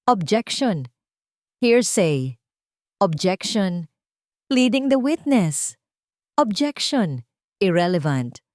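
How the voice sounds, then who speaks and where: A woman with a Filipino accent voicing a calm, accusing male lawyer in an audio storybook. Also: medium pitch, dry recording, studio quality. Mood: assertive. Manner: measured, accusing. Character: calm, cold, cutting male courtroom lawyer.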